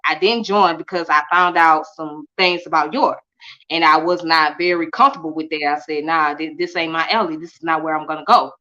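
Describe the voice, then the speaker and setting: A woman raised in Atlanta, a guest telling her story in a relaxed, repetitive, colloquial way. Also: low voice, a little fast, lo-fi sound. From Midwest-born, raised in Atlanta. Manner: repeats herself, relaxed, colloquial. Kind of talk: casual interview storytelling.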